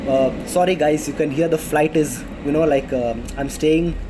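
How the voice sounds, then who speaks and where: A man's voice: on the high side, fairly even intonation, normal speed, background noise. A man in his twenties with an Indian accent, chatting casually about health tips in a vlog, full of fillers and restarts. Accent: Indian English. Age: 20s. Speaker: vlogger. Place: apartment in a city under flight paths. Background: planes passing overhead.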